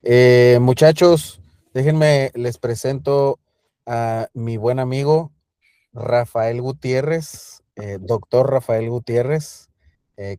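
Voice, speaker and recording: A man's voice: mid-range voice, dark tone, no echo, clean studio sound. A man hosting a class on a video call, introducing a guest speaker with joking and many hesitant fillers. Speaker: program host.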